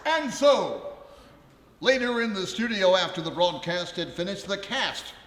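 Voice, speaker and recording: A man working as a radio host, narrating a live radio play with theatrical, deliberate delivery. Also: high voice, expressive, fairly bright tone, a little hiss or hum. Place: large ballroom, live stage. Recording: echoey ballroom with long reverb.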